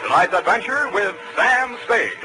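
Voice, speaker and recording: Male 1940s radio announcer, high and lively delivery over loud music. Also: measured pace, poor audio. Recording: old radio broadcast, loud music behind.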